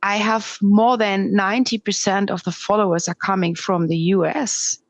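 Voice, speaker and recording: A middle-aged woman with a Swiss German accent, speaking English as a second language, talking candidly as a podcast guest over a remote call with halting restarts. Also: mid-range voice, fairly even intonation, no echo. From Switzerland. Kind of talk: interview conversation.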